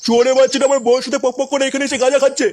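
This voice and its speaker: A man's voice: very high voice, little pitch movement, rapid-fire, darkish tone, a little hiss or hum. A man voicing a furious, foul-mouthed husband out for revenge in a comedy cartoon, ranting and threatening crudely. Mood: outraged, ranting. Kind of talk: Bengali comedy cartoon dubbing.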